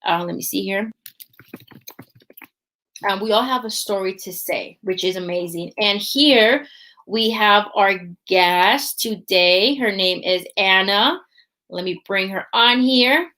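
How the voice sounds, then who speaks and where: A woman's voice: medium pitch, takes it slowly, bright, forceful tone. A woman hosting a faith podcast in a casual, talkative way, often tagging her sentences with "right?". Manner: chatty, often tags lines with "right?". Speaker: podcast host.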